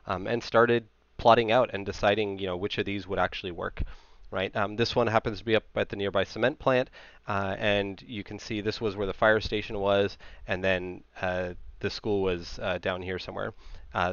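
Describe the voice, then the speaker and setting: A man's voice: on the low side, smooth, no echo. An American man in his thirties giving an informal, explanatory technical slide presentation into a headset, often checking in with the listener. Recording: slightly dull, band-limited headset audio.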